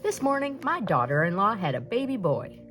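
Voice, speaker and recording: Woman acting a genial old merchant, swooping pitch. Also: very animated, brisk, fairly bright tone, faint music behind. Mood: marvelling. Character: rich, genial old male merchant, proud grandfather, generous.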